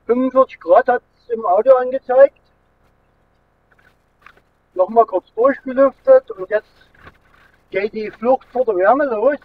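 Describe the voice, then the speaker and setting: A middle-aged man with a high-pitched voice. He rambles casually as he vlogs while driving. The sound is thin and phone-like, with clear car noise behind him. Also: unhurried, pauses often. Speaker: vlogger. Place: inside a moving car with air conditioning running. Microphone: camera mounted in the car.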